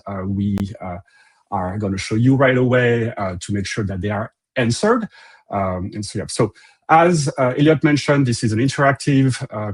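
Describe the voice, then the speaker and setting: A man's voice: medium pitch, husky. A middle-aged man with a French accent presenting a company webinar in a loose, conversational way, with frequent fillers and restarts. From France. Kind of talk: presentation to an online audience.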